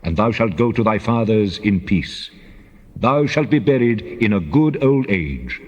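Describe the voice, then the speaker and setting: Man voicing husky God in cavernous reverb. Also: fairly low pitch, expressive. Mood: solemn pronouncement. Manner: solemn, declamatory, deliberate. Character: God, a commanding, solemn divine voice from heaven. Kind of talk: dramatized scripture reading.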